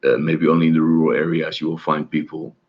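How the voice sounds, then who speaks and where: A man giving an informal webinar presentation, halting often with many fillers and restarts. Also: a bass voice, flat delivery, slightly rough, lo-fi sound. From Netherlands. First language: Dutch. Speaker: presenter of study-abroad webinar.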